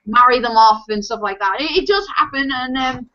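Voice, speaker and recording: A woman's voice: sits high, a little fast, sharp tone. A young woman with a watered-down Scouse accent, chatting casually as a guest and rambling with lots of ums and restarts. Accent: mild Scouse. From Liverpool, England. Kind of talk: casual chat. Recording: dull lo-fi sound.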